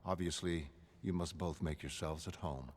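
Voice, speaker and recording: Smug theatrical mastermind, lowish voice, motion comic, big reverb. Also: male voice, steady tone. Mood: coolly smug. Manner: measured, formal, theatrical. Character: grandiose, self-assured male mastermind, cultured and coolly smug. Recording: long reverb under music.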